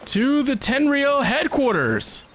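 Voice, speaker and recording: A woman's voice: high-pitched, very animated, slow pace, background noise. A woman hosting a gaming video, giving casual reaction commentary in brief asides as she plays.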